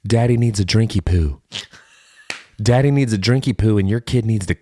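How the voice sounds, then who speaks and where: A man's voice: on the low side, normal speed, warm tone, slight background noise. A man co-hosting a podcast in casual comedic chat, joking with mock-serious exaggeration. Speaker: podcast co-host.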